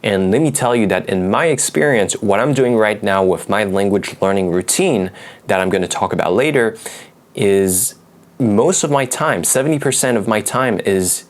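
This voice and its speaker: Young non-native English-speaking man, lowish voice, relaxed unscripted video explainer. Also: normal speed. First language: not English. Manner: loose and conversational, many fillers and restarts. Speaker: language learning video host. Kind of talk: unscripted explanatory talk to camera.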